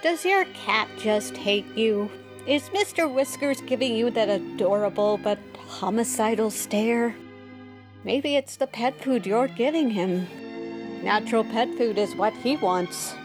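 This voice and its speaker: A woman performs a spoof radio commercial as a cheerful, deadpan pitchwoman. She takes her time in a slightly high voice, with clear music behind her. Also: measured pace, lightly acted. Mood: upbeat deadpan. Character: smooth, cheerful female pet food pitchwoman.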